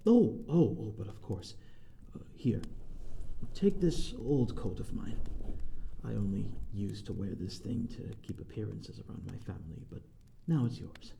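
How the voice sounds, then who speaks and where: Guy doing a courteous lonely vampire lord for asmr roleplay, really dark soft-edged tone, gravelly creak. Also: lowish voice, lively intonation, unhurried, reverberant, slight background noise. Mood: gracious, welcoming. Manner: genteel, formal, hesitant, old-fashioned wording. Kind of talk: ASMR audio roleplay, amateur drama.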